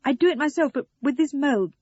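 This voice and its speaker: A woman's voice: high-pitched, animated, fairly quick, a little mellow, dry recording, muffled recording, pitched above the performer's own voice. A woman voicing a flustered, guilty adult daughter in an audio story, hesitant and placating. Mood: pleading.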